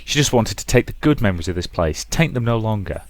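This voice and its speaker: A man's voice: fairly low pitch, expressive, quick pace, no echo. A man hosting a let's play, reading visual novel narration and adding casual commentary.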